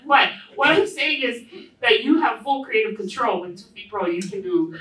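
A woman's voice: fairly high pitch, moderate pace, a bit bright. A middle-aged woman from Hawaii talking informally on a panel, telling anecdotes and quoting people as she goes. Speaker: panelist, self-publishing publisher. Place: indoor panel event room. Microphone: handheld microphone. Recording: roomy echo, distant event sound.

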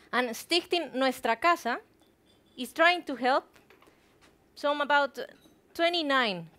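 High-voiced woman with a Guatemalan Spanish accent. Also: fairly bright tone. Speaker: conference speaker. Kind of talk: prepared public talk. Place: stage in a theatre hall. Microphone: headset.

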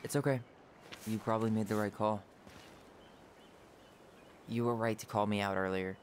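A man's voice: on the low side, little pitch movement, normal speed, slight background noise, close to an everyday voice. Wry, guarded young American man, acted. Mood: soft, reflective. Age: young adult. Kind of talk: video game dialogue acting.

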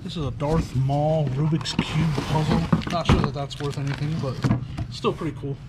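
A middle-aged man with a slightly raised pitch chats upbeat as he sorts through boxes, thinking aloud about what each item is worth. He is recorded on a head-mounted action camera inside a metal storage unit. Loud noise runs under his voice. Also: steady tone, normal speed. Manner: chatty, upbeat, thinking aloud while sorting items. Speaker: storage locker reseller. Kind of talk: casual unboxing commentary.

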